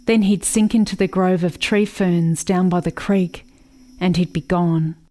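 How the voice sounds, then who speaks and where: An actress in a radio play playing a grieving, watchful country sister, narrating in a reflective, measured way. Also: female voice, fairly low pitch, fairly even intonation, mellow, close to an everyday voice. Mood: wistful.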